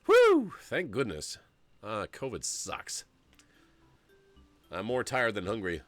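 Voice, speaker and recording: A man's voice: fairly low pitch, slow pace, faint music behind. A man in his forties streaming a story game, reading its narration aloud and joking, laughing often. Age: 40s. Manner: animated and jokey, laughs often, rambles.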